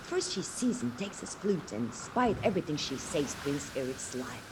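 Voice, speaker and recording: A woman's voice: sits low, sing-song, moderate pace, background noise. A woman storyteller narrating fairy tales for children, dramatically, with rhetorical questions. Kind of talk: expressive fairy-tale narration.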